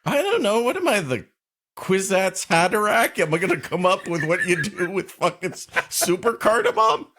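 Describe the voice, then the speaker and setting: A man's voice: high voice, lively intonation, normal speed, bright, forceful tone. A man co-hosting a podcast, riffing comically, laughing often and swearing casually. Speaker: podcast co-host. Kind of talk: casual comedic banter.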